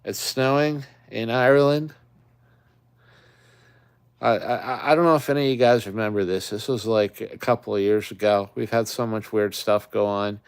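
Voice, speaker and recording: A man's voice: lowish voice, steady tone, moderate pace, clear, resonant tone, no echo. A middle-aged man from the Great Lakes region hosting a camping channel, chatting casually on a live stream in a rambling way with lots of fillers.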